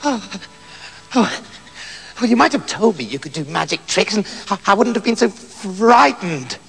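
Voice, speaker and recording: Guy doing a snivelly scared lost man, whiny, a bit gravelly. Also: high voice, lively intonation, normal speed, fairly bright tone, in a large hall, faint music behind, heavily acted. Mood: relieved. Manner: whiny and fearful.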